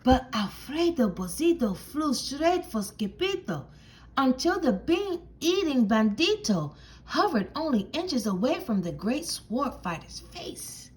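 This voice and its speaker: A woman reading a children's storybook aloud as narrator, with expressive, theatrical and deliberate phrasing. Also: high voice, poor audio. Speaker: storybook reader.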